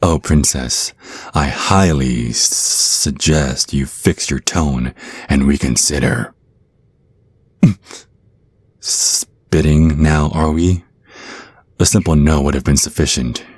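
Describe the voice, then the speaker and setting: A man playing a menacing, condescending vampire naga in an ASMR roleplay, speaking deliberately with falsely sweet threats. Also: extremely low pitch, a little mellow, husky, no echo, exaggerated voice. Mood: cold mockery. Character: menacing male vampire naga hypnotist, coldly condescending brother. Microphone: close microphone, dry.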